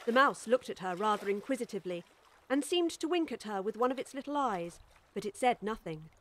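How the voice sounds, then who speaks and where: A woman tells a story as an audiobook narrator. Her voice sits fairly high, and the delivery is scripted storytelling. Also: lively intonation, a little fast, a bit bright.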